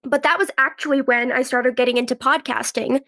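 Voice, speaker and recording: A woman's voice: high voice, fairly even intonation, a little fast, a bit bright. A woman in her twenties with a cold, chatting casually in an interview and peppering her youthful, conversational talk with "like". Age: 20s. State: has a cold. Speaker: guest, actress and writer.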